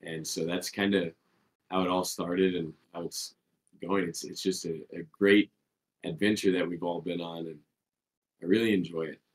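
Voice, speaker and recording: Man, very low voice, podcast guest, earnest, rambling, casual. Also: fairly even intonation, moderate pace. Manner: earnest, rambling, tags lines with "right?". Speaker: podcast guest, team player. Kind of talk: casual interview answers.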